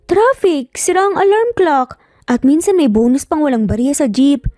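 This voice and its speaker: A woman's voice: sits high, expressive, normal speed, dark tone, dry recording, studio quality, voice pushed up. A woman voicing a playful, cheeky young single mother who teases her boss with mock-dramatic pleading in a narrated story. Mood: flippant, teasing.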